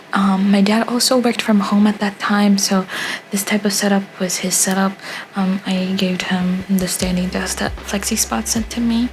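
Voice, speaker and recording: A young woman narrates her vlog in a soft, rambling voice-over. Her voice sits at an ordinary middle pitch. She often pauses on filler words, and faint hiss runs under the recording. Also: poor audio. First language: Haitian Creole. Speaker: art vlogger.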